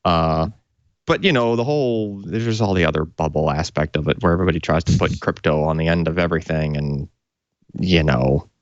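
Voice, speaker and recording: A man's voice: rumbling low, a little fast, dry recording, dull audio. A man casually explaining technical hardware as a tech show co-host, in an informal, conversational way.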